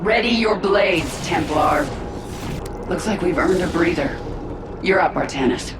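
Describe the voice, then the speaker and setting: A woman's voice: on the low side, animated, measured pace. An actress voicing a confident, sardonic alien queen in a video game, with a dry, casual delivery. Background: loud game music.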